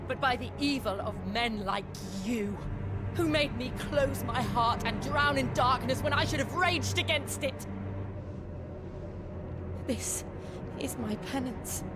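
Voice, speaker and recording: An actress playing a defiant, vengeful warrior woman in a video game, solemn and impassioned, speaking with deliberate weight. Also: high-pitched. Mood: grave resolve. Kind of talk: dramatic video game voice acting. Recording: loud orchestral game music behind. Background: loud music.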